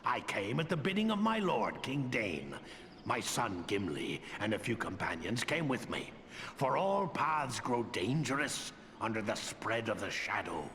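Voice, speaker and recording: An old dwarf lord from a fantasy video game, grave but hearty. The voice is gravelly and forceful, with rolling, emphatic, old-fashioned phrasing. It rings in a reverberant hall with faint noise behind it. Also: male voice, fairly low pitch, very animated, measured pace, heavily acted. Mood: grave, confiding. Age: older.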